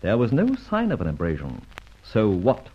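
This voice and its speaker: An actor playing a breezy, teasing gentleman adventurer in a mid-century radio drama, with flippant, theatrical banter and old-fashioned British slang. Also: fairly low pitch, huge pitch swings, moderate pace, breathy edge, exaggerated voice. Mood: clever, explaining. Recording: dull, muffled old radio recording.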